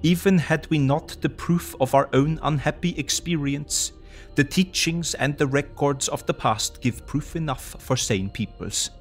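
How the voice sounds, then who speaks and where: An actor playing a grave, courteous foreign professor who hunts vampires, speaking formally and solemnly in an audio drama. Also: male voice, fairly high pitch, measured pace, faint music behind. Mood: grave lecturing. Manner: formal, solemn, quaintly phrased.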